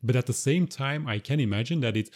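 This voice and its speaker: A man's voice: medium pitch, a little fast, a little mellow. A man hosting an interview, asking thoughtful questions that he works out as he speaks. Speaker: interviewer host.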